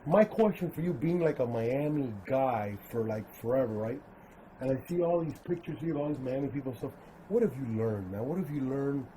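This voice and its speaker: A man's voice: medium pitch, lively intonation, brisk, warm tone, slight background noise, low-quality recording. A man born in Cuba, hosting a casual interview and often chiming in with short agreements. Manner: frequent short agreeing replies. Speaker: podcast host.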